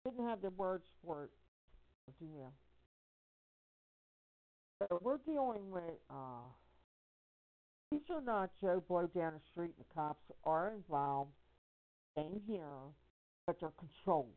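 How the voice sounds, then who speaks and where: Female caller, lowish voice, narrow phone-line sound, emphatic and halting, impassioned testimony with repeated phrases. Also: expressive. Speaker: caller on a conference call. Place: telephone conference call.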